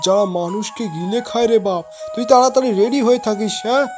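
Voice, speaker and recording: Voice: medium pitch, quick pace, lightly acted. An actor as a scolding, doting village father over music. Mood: soothing. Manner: repetitive, rustic scolding talk. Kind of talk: amateur cartoon voice acting. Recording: loud music behind the voice.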